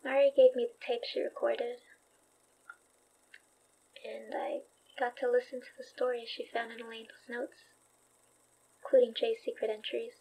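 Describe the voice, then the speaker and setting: An actress in a horror audio drama playing a thoughtful, wry young woman investigator recording a diary, reflective, halting and dryly understated. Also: fairly high pitch, flat delivery, creaky, dark tone, slightly rough, full character voice, poor audio.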